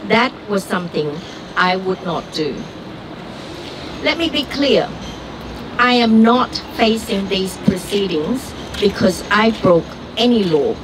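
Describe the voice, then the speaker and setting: Woman giving a slow earnest speech outside, heard from a distance. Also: medium pitch. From Vietnam. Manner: earnest, deliberate. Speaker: rally speaker, doctor. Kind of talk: prepared public speech. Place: outdoor street gathering. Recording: far-off street recording with traffic noise.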